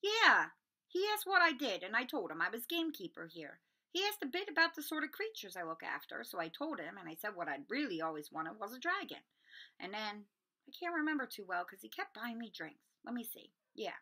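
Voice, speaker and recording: A woman's voice: on the high side, big pitch swings, fairly quick, sharp tone, full character voice. A woman voicing a big, easygoing male gamekeeper in a storybook, casual, rambling and unguarded. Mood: chatty, recollecting.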